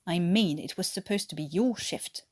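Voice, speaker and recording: A woman's voice: lowish voice, moderate pace, no echo, studio quality, lightly acted. Woman as a sarcastic, bullying male prisoner. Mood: suspicious, sarcastic. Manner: sarcastic, challenging. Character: bullying, suspicious male prisoner of war, resentful.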